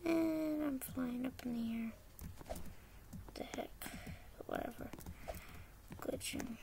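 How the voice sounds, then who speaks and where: A teenage girl talking quietly over her gameplay in a hoarse, half-whispered voice, rambling and hesitant, on a poor computer mic. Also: high voice, slow pace. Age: teens. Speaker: gamer. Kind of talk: casual gameplay commentary. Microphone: poor-quality computer mic.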